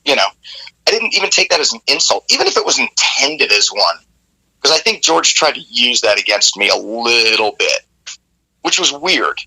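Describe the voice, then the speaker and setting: Gravelly male rock vocalist guest, bright cutting tone, candid and animated storyteller. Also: medium pitch, normal speed, poor audio. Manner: candid, animated, casually profane. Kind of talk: casual interview storytelling.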